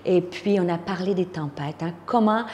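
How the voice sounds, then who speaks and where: A Québécois woman in her fifties speaks as a pastor, giving her personal testimony with earnest conviction and the odd filler. Her voice sits a little low, and a long reverb trails behind her words. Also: moderate pace, a little mellow, slight background noise. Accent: Québécois French. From Quebec, Canada.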